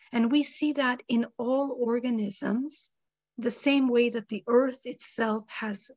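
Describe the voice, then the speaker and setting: A woman, hoarse from a cold, speaking slowly and deliberately with many pauses in a spiritual panel discussion. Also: a little high, no room sound. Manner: slow, measured, many ums. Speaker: panel guest.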